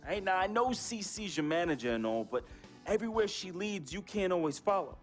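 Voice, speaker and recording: An actor playing a slick, streetwise hustler friend with an American accent, talking in slang in a scripted drama. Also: male voice, high voice, big pitch swings, a little fast, soft background music. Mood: persuasive, cocky. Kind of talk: scripted film-style game drama acting.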